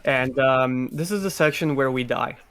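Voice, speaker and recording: A man's voice: mid-range voice, moderate pace, a bit bright. A man giving casual, joking live commentary on a speedrun at a gaming event. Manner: joking, playful banter.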